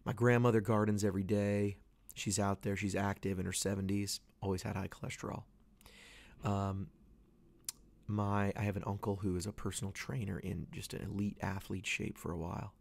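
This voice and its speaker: Gravelly-voiced man host losing his voice. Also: fairly low pitch, flat delivery, normal speed, darkish tone. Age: 30s. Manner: rambling, earnest, many restarts. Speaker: podcast host. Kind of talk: casual solo podcast talk. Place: away from home, dry small space.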